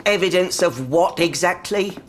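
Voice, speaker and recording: An actress playing a stern, exasperated constable's wife in an audio drama, sceptical and scolding. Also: female voice, lowish voice, normal speed, close to an everyday voice. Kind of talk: full-cast audio drama acting. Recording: faint noise with clicks.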